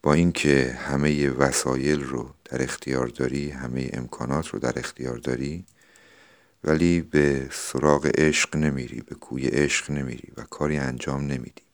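A man's voice: rumbling low, steady tone. A man, speaking as a podcast host who presents and explains classical poetry. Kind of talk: poetry recitation and informal explanatory talk.

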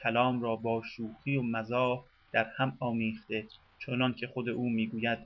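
Man narrating in formal, measured tones. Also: medium pitch, little pitch movement, lo-fi sound. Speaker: radio narrator. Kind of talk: scripted documentary narration.

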